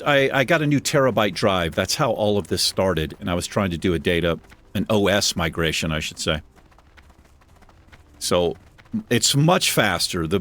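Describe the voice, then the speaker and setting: A man with a deep voice hosting a gaming channel, chatting casually and self-deprecatingly while he plays. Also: fairly bright tone. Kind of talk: casual gameplay commentary. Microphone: desk microphone.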